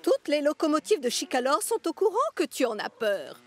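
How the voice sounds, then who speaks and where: A woman voicing a bossy yet encouraging female railway carriage in a cartoon, expressive and coaxing. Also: high voice, moderate pace. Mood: impatient. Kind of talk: French cartoon dub acting.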